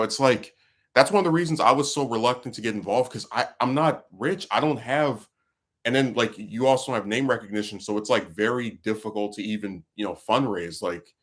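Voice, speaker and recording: A man hosting a casual talk stream, venting about politics in a rambling way, full of fillers and restarts. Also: mid-range voice. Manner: frustrated, venting. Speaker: livestream host.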